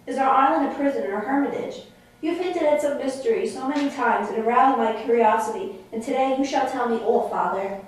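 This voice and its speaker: Young wild princess, puppet stage, woman performer: high, earnest, theatrical voice, very echoey and distant. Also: a little fast, a little hiss or hum, natural voice. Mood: earnest, pleading. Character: sensitive young princess, wild island-raised daughter of a magician. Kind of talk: stage puppet-play acting. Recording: very echoey room, distant microphone.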